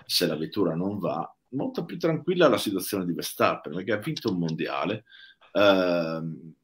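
Deep-voiced older man on a video call. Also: very animated, moderate pace. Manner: thoughtful, hesitant, lots of fillers and restarts. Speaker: guest technical expert. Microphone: video call webcam.

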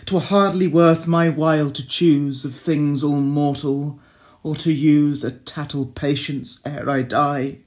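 Slow, solemn man reciting classic poetry. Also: on the high side. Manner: solemn, measured, expressive delivery with hushed line endings. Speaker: poetry reader. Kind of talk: dramatic recitation of classic verse.

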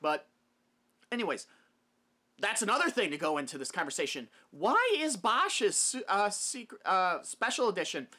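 Bright, high-voiced young man. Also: big pitch swings, normal speed. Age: young adult. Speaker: video host. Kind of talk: casual opinion monologue. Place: small wood-paneled room at home.

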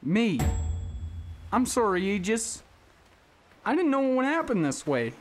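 A performer voicing a lovesick, apologetic young male suitor in an animated film. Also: mid-range voice, unhurried, a little hiss or hum. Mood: pleading.

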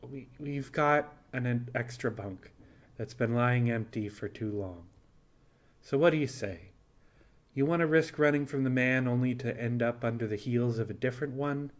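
A man voicing a kindly, persuasive animal captain of a pirate starship, speaking in a frank, measured, reassuring way. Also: medium pitch, natural voice. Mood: pained, inviting.

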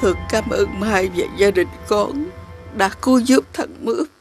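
A woman voicing a humble, concerned old village grandmother with a creaky voice in an animated fairy tale. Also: mid-range voice, a little slow, soft background music, natural voice. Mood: grateful.

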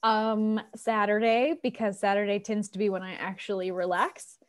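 A woman's voice: medium pitch, flat delivery, normal speed. An American woman in her thirties chatting informally as a guest in a casual video-call interview. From Colorado, United States. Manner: chatty, informal, many likes. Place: living room, indoors. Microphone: wired earbuds on a video call.

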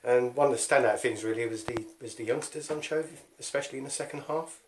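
A man's voice: medium pitch, quick pace, a little mellow, slight background noise, low-quality recording. Club interviewer, male, mid-range voice, informal post-match questioning.

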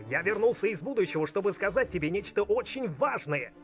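A self-important, goofy cartoon turkey. The voice is fairly high and animated, blunt and comic, with music behind it. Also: male voice, brisk. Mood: urgent comic.

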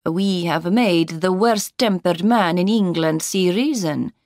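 A woman voicing a calm, cold nobleman in an audiobook, quiet, formal and smoothly courteous. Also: fairly low pitch, slow pace, no room sound, clean studio sound. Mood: smooth courtesy.

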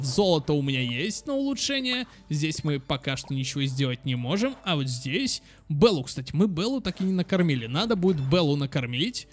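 A young man giving casual let's play commentary for children, chatty, thinking aloud and repeating words. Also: on the high side. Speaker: gaming channel host. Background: game music.

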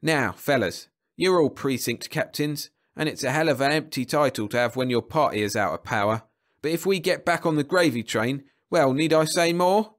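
A man voicing a stoutish, bullying political boss with a booming, slangy, rabble-rousing delivery, in a story. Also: moderate pace, close to an everyday voice. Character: stoutish, red-faced, bullying party boss orating to henchmen.

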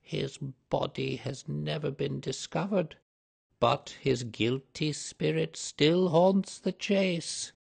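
A man's voice: vocal fry, a little mellow, slightly rough, heavily acted. A man doing an eerie old housekeeper woman. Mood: ominous. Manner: low, slow, mysterious delivery.

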